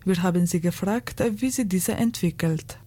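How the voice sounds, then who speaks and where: A woman radio host reading a scripted tribute in a calm, measured way. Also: fairly low pitch, slight background noise.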